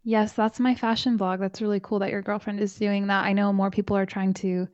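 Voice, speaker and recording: Soft-spoken calm woman with a flat delivery. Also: medium pitch, dry recording, muffled recording. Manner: calm, measured, soothing. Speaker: podcast host and guest. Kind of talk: casual interview chat.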